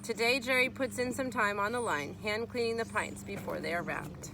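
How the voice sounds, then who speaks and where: A woman's voice: on the high side, normal speed, noisy background. A woman reading a children's nonfiction book aloud as a teacher would, explaining and speaking to young listeners.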